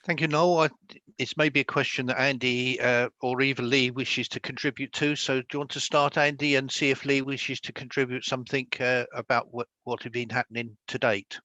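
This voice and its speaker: A British man chairing a formal committee meeting, speaking in a deliberate way with hesitant phrasing. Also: medium pitch, level tone, sharp tone, no echo, rough recording.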